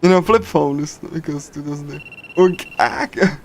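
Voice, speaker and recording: Halting, higher-voiced man streaming a game. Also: a little hiss or hum. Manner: halting, repetitive, giggly. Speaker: livestreamer reading a visual novel. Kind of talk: casual game readthrough with translation and commentary. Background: game music.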